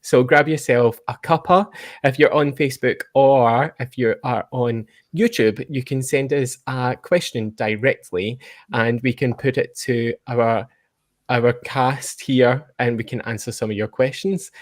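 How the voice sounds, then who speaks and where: Podcast host, male, Scottish accent, medium pitch, casual chat delivery. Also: lively intonation, unhurried.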